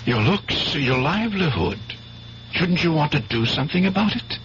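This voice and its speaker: Earnest, high-voiced worried doctor. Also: male voice, lively intonation, a bit bright, slight background noise. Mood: urgent concern. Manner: grave, emphatic, deliberate phrasing. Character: earnest, worried doctor, a man, blunt and insistent. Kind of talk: 1970s radio drama acting. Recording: dull, hummy old radio recording.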